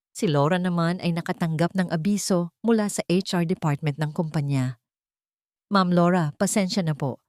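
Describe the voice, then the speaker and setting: A woman's voice: on the low side, dark tone, dry recording, studio quality. A woman narrating a scripted dramatic story as a storyteller.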